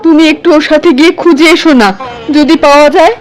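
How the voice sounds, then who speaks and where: A woman's voice: high-pitched, steady tone, quick pace, lightly acted. A woman playing an anxious, protective village auntie in a horror cartoon, worried and insistent.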